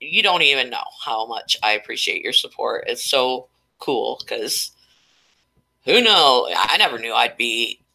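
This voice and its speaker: A woman's voice: very deep voice, animated, a little slow, gravelly, bright, forceful tone, rough recording. A woman hosting a casual live stream, chatty and rambling, stuffed up and losing her voice. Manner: rambling, chatty, reading chat names aloud.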